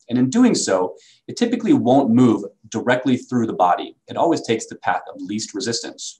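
A man presenting a product as a company sales executive, in a measured, explanatory way. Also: lowish voice, darkish tone, rough recording.

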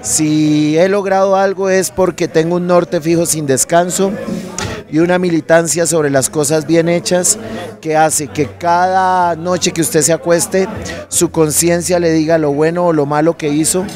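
A man's voice: on the high side, steady tone, unhurried, over music. A Colombian man in his forties, a merengue singer, talking animatedly and joking into a handheld mic at an outdoor terrace event. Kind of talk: casual interview. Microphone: host's handheld mic.

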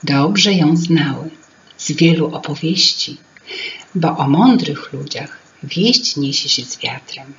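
A woman in her fifties reading a fairy tale to children, expressive and lingering, with whispered asides. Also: low-pitched, slow pace, a little hiss or hum. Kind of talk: storybook narration for children. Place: small room at home with paintings. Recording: dull lo-fi home recording.

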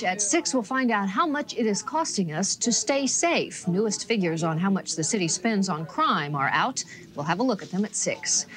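Casting: female TV news anchor, medium pitch, reading scripted copy. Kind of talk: scripted newscast delivery.

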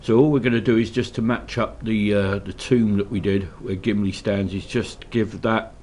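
Low-voiced guy a bit snuffly from a cold, ums and uhs a lot, faint hiss behind. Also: normal speed. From Scotland. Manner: relaxed, hesitant, many ums and uhs. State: slightly congested from a cold. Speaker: miniature painting tutor. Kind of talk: casual hobby tutorial narration. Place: indoor hobby desk.

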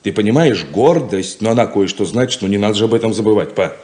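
An actor playing a level-headed, proud young son in a 1970s Soviet radio play, reasoning firmly and practically. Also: male voice, on the low side, expressive, fast, a little mellow, close to an everyday voice.

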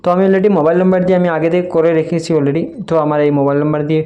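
A man's voice: on the high side, steady tone, very fast, mellow. A man explains a phone app step by step in an informal, repetitive instructional way. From Barak Valley, Assam, India. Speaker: tutorial video creator. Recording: faint background noise.

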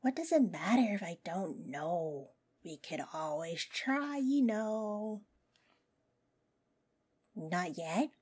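Woman acting as playful imp girl, coy teasing, obsessively smitten, unhurried pace, swooping intonation, roleplay audio. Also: on the low side, expressive, darkish tone, breathy edge, exaggerated voice. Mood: smitten, coaxing. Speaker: voice actress.

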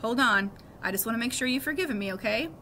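A woman's voice: fairly high pitch, lively intonation, quick pace, sharp tone, a little hiss or hum. A middle-aged woman voicing an affectionate, easygoing father in a storybook, coaxing and emphatic. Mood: anxious.

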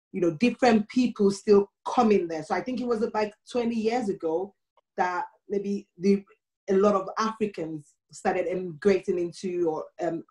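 A woman's voice: medium pitch, a little mellow, rough recording. A woman from Nigeria with a Nigerian accent, speaking as a guest on a video call panel in an earnest storytelling way.

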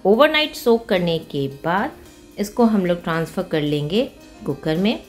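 Woman, Indian accent, slightly low voice, step-by-step recipe narration, English phrases mixed in, music behind. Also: very animated, unhurried. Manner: mixes English words and phrases into her talk. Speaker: cooking show host. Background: background music.

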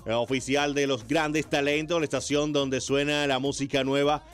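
A man with a Dominican accent hosting a casual urban music interview on radio, effusive and quick to exclaim. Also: mid-range voice, flat delivery, clean tone. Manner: effusive, frequent exclamations of wow. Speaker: radio host. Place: small radio studio. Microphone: close studio microphone with headphones.

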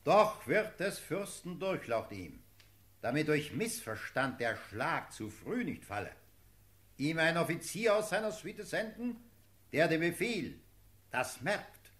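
Declamatory field marshal with sweeping intonation. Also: male voice, a little high, fairly bright tone, exaggerated voice. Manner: declamatory, ceremonious military dictation. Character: brisk, formal field marshal dictating battle orders. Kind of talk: classical German radio drama acting.

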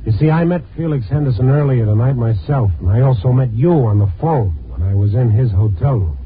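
A man's voice: mid-range voice, a little fast, husky. An American man playing a tough, world-weary newspaper reporter in a hardboiled 1950s radio drama. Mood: angry, threatening. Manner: hardboiled, blunt. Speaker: lead actor and narrator. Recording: tinny old 1950s radio recording, music.

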